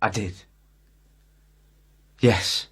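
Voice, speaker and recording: A man playing a weary, defensive suspect under questioning in a naturalistic radio drama, answering haltingly. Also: a bit bright. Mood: resigned. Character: defensive, weary murder suspect insisting he's someone's son.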